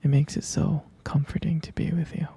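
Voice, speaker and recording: A man playing an adoring, tender vampire lover in an intimate ASMR roleplay, whispering breathily and haltingly with gravelly fry. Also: a little high, flat delivery, heavily acted. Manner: slow, halting, softly repeating himself. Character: adoring, tender male vampire lover soothing his sweetheart.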